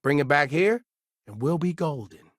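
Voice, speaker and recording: An actor playing an eager, gullible fast-food manager in a video game, talking in an upbeat, chatty, salesy way. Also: male voice, a little high, animated, dry recording, clean studio sound, lightly acted.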